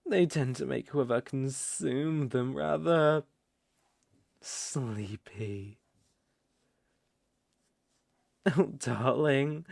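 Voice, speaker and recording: Casting: performer as a smooth, sinister-sweet naga captor for intimate ASMR roleplay; gravelly creak, dry sound, coaxing and possessive. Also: mid-range voice, darkish tone, dry recording, exaggerated voice. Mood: sweetly sinister. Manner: coaxing, cooing, drawn-out endearments.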